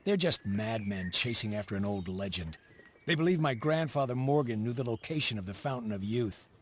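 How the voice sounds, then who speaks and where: Grim frontier mercenary leader. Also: male voice, fairly low pitch, animated, a little fast. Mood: somber. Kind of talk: dramatic video game cutscene acting. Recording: music behind the voice.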